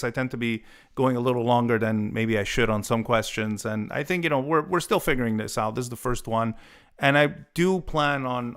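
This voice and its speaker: Rambling Italian-born man, smooth voice. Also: medium pitch, a bit bright. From Italy. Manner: rambling, with many fillers and restarts. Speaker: guitar channel host. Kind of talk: casual live stream chat.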